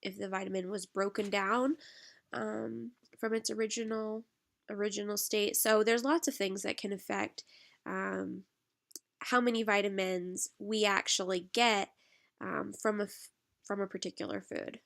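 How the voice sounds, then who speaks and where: A woman teaches a recorded slide lecture, her voice thick and stuffed up from allergies. Her mid-pitched voice has a gravelly edge, and she stops often on a drawn-out "um" as she explains. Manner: lots of "um" fillers, relaxed explaining. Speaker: instructor.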